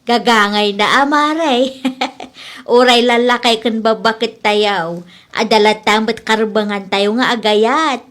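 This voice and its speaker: A woman's voice: on the high side, takes it slowly, fairly bright tone. A woman playing an older widowed mother who speaks frankly and reassuringly about romance in a radio drama. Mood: frank, playful. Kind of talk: Ilocano comedy radio drama acting.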